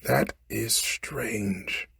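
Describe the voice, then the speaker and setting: A man's voice: fairly low pitch, steady tone, drawn-out words, vocal fry, pushed and bright, husky, no echo, pitched above the performer's own voice, exaggerated voice. A man voicing a humble fisherman from a storybook, imploring in formal, old-fashioned phrases. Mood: distressed, reproachful. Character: humble, pleading male fisherman, clever under threat. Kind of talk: storybook character voice.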